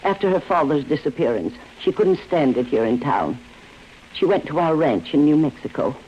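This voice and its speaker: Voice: medium pitch, animated, moderate pace, lightly acted. A performer playing a genteel, grief-stricken old lady, a worried wife, in a 1940s radio drama. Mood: grieving. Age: older. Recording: dull, faintly noisy vintage radio recording.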